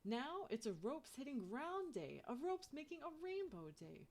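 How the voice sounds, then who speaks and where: A woman reading a children's picture book aloud in an expressive way, turning sing-song on chants. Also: sits high, a little slow. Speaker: storybook reader.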